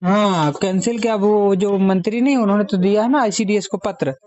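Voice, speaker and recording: A man's voice: high-pitched, a little fast, darkish tone, muffled recording. A man with an Uttar Pradesh Hindi accent, talking casually and agreeably in a recorded phone conversation. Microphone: phone call.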